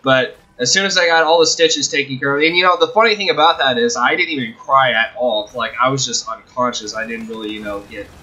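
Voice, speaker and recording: A young man hosting a video, telling a personal story casually in a halting, rambling, self-correcting way. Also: medium pitch, pushed and bright, lo-fi sound. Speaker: video host.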